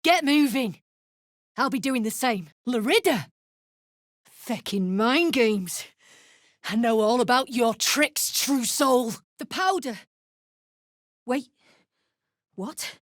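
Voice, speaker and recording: A woman voicing an embittered, foul-mouthed deep gnome rebel in a video game, blunt and snarling. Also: animated, slow, pauses often, fairly bright tone, no echo, studio quality. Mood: grim, curt. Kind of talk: video game character acting.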